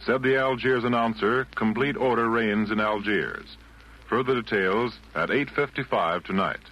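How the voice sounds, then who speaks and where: A man announcing on 1940s radio in a formal, measured style. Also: lowish voice, fairly bright tone. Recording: muffled, noisy vintage radio broadcast.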